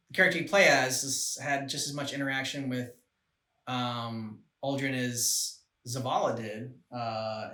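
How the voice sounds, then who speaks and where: A man co-hosting a reaction show, chatting casually about game scenes and haltingly thinking aloud. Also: mid-range voice, pushed and bright, recorded from a distance. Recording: echoey room, off the mic.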